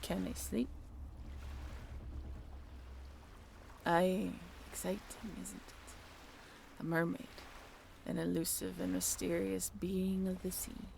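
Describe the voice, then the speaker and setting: Brief: actress as young period sailor woman, dreamy and ambitious, slow hushed delivery with some creak, faint noise behind. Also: on the low side, level tone. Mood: hushed, wistful. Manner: soft-spoken, archaic sailor phrasing, wistful. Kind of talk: period seafaring roleplay audio drama.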